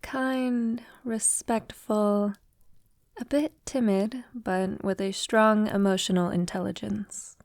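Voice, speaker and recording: A woman playing a centuries-old vampire lover in an intimate audio roleplay, tender, wistful and confiding, softly spoken with lapses into whispering. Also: medium pitch, steady tone, no echo, clean studio sound. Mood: fond, wistful. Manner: soft, unhurried, breathy lapses into whispering.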